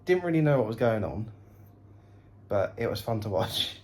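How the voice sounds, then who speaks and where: A man's voice: medium pitch, sing-song, moderate pace. A man with a British accent, giving a casual recap as a travel vlogger.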